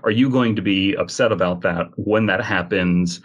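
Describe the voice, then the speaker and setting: A man's voice: low voice, moderate pace, dull audio. A man hosting a casual panel podcast, inquisitive, summing up and putting questions to the others. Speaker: podcast host.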